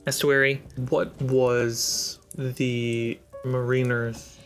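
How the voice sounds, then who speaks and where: A man narrating a tabletop role-playing game as game master, describing scenes in a slow, measured way with occasional fillers. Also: medium pitch, level tone, faint music behind.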